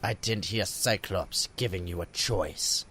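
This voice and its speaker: An actor playing a sly, needling mutant superhero who taunts deliberately in an audio drama. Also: male voice, low voice, sharp tone, slightly rough, heavily acted. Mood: taunting.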